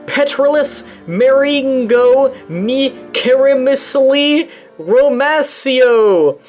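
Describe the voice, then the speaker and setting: A woman's voice: high-pitched, animated, extremely slow, a little mellow, faint music behind, voice pushed up, full character voice. A woman voicing a moody giant male groundskeeper in a comedic fanfic reading, delivered in an overwrought, dramatic way. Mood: melodramatic.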